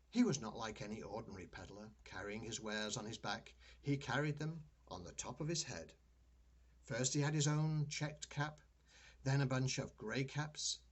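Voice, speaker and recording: An older man, a grandfather, reading a children's story aloud in an expressive, deliberate way. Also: mid-range voice, husky.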